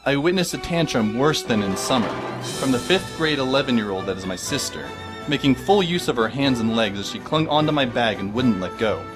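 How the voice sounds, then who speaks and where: A man's voice: medium pitch, close to an everyday voice. A man narrating as a wry teenage boy. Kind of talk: audio drama narration.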